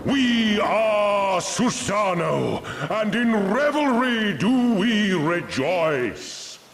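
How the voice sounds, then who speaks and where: An actor playing a grandiose, capricious storm god in a game, declaiming pompously in archaic language. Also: male voice, high voice, big pitch swings, drawn-out words, noisy background, exaggerated voice. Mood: exultant, roaring. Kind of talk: archaic theatrical game cutscene acting. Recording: echoing voice with music behind.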